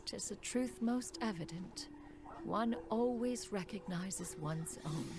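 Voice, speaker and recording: A woman's voice: mid-range voice, slow pace, background noise. An actress playing a proud, formal, ancient crane spirit woman in a video game, speaking in a stately, archaic manner. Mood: fond, dignified. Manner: stately, archaic, refers to self as "one". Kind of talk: scripted game voice acting, storytelling.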